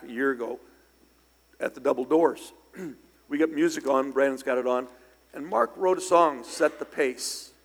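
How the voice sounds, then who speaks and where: A middle-aged man preaching a sermon in church, slightly hoarse and raspy from singing, conversational with loud bursts of emphasis. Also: mid-range voice. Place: church sanctuary. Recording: long hall reverb in church.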